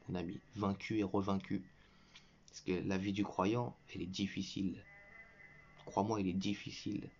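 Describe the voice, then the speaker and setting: Scornful low-voiced man, muffled recording. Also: a little mellow, a little hiss or hum. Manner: scornful, lecturing, addresses listener directly as "tu"; bursts into mocking laughter. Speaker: online religious commentator. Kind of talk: combative reaction monologue to viewers.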